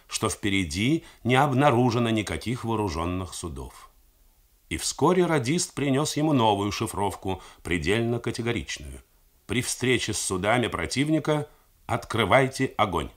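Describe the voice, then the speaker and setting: A man reads a novel aloud in measured, dramatic radio narration. His voice is low yet lively, rising and falling through each sentence. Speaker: actor reading a novel.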